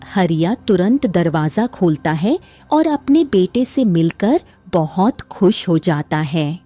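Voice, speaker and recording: A woman's voice: fairly low pitch, very animated, slow pace, mellow, faint music behind. A woman reading a scripted moral story as a dramatic narrator.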